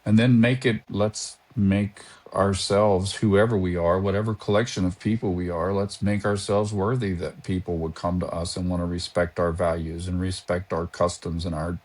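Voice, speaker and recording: An American man who is a documentary maker, speaking as an interview guest in a measured and earnest way, sometimes searching for words. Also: deep voice, fairly even intonation, darkish tone. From United States. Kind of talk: reflective interview talk.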